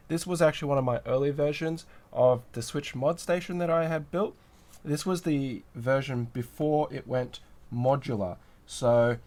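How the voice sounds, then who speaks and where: Guy with a cold and a sore throat, stuffy nasal voice, rambling on a livestream, saying hi to chat. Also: mid-range voice. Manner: relaxed, rambling, greets viewers and reads chat. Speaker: livestream host. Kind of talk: casual livestream chat while building a keyboard kit.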